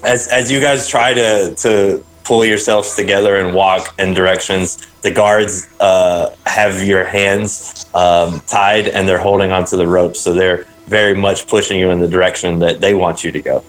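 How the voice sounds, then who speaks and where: A man narrating a tabletop game as dungeon master, pausing often with fillers. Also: low-pitched, flat delivery, low-quality recording. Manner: hesitant, many fillers.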